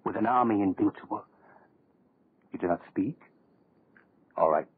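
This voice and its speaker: An actor playing a suave, power-hungry schemer in a 1930s radio horror drama, speaking with pompous, quietly menacing oratory. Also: male voice, on the low side, moderate pace, a little hiss or hum, close to an everyday voice. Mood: grandiose. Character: suave, power-hungry male dictator-in-waiting, grandiose schemer. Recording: muffled old 1930s radio recording.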